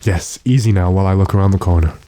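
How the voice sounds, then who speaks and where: A man plays an authoritative gentleman sleuth in a radio mystery. His low, mellow voice is measured and matter-of-fact. It sounds close and dry, with no room around it. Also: no room sound, natural voice. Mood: hushed watchful. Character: calm, authoritative gentleman investigator addressed as sir. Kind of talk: classic radio drama acting.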